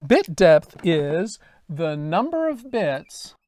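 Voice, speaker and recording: A man in his fifties teaching to camera in a deliberate, emphatic way, checking in with rhetorical questions. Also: on the high side, big pitch swings, darkish tone. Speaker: instructor. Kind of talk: explanatory lecture to camera. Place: indoor studio with dark backdrop and lightboard. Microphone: lapel mic.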